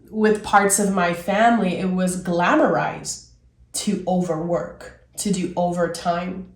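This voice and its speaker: A woman in her thirties, hoarse and raspy from being sick, giving a casual tarot reading with many fillers, musing aloud and getting enthusiastic. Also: medium pitch, roomy sound. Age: 30s. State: hoarse from illness. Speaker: tarot reader.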